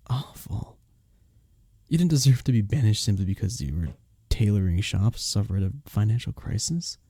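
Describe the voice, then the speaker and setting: A man playing a kind, courteous innkeeper in a medieval fantasy village, speaking softly and formally in an intimate ASMR roleplay drama. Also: medium pitch, gravelly, breathy edge, no echo, studio quality, heavily acted. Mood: concerned. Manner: gentle, formal storybook phrasing, hesitant and tender.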